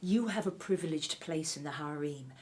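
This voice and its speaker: A woman playing a ruthless, scheming royal wife of ancient Egypt in an audio drama, speaking in an imperious, deliberate, menacing way. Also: sits low, a little mellow, close to an everyday voice. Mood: scheming, commanding.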